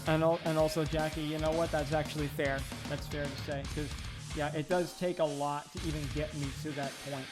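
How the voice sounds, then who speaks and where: A man's voice: fairly high pitch, little pitch movement, a little fast. A man streaming casual chat, given to teasing, sweary banter. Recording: music playing behind the voice. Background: plucked guitar music.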